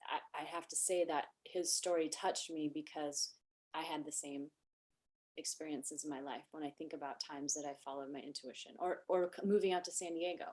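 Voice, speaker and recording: A middle-aged woman hosting a podcast, talking in a soft voice that does not carry far, reflective and thoughtful in long winding sentences. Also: sits low, steady tone, normal speed. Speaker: podcast host and wellness coach. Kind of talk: casual podcast conversation.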